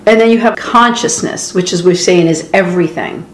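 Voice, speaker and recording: A woman's voice: fairly low pitch, normal speed. Boston woman co-host, inquisitive thinker, roomy echo. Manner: questioning, thinking aloud. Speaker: podcast co-host. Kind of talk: spiritual discussion. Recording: roomy echo, faint noise.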